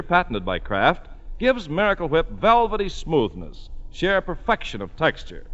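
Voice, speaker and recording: Man announcer, old-time ad read, lively swooping voice, long reverb, muffled broadcast. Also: a little high. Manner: smooth, folksy salesmanship. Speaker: radio announcer. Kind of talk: 1940s radio commercial.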